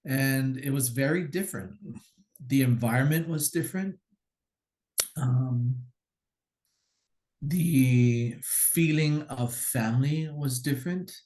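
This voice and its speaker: A man from Hawaii, a guest teacher, telling stories in a relaxed, explanatory way during a casual conversation. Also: medium pitch, slow, long pauses, rough recording. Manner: relaxed, explanatory, acts out quoted commands.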